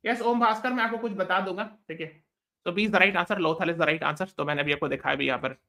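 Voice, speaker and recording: A man's voice: high voice, racing, sharp tone. A man with an Indian accent teaching a live online exam class, switching between English and Hindi, repeating himself and checking that students follow. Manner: repeats phrases and checks understanding often. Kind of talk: live lesson, explaining quiz answers to students.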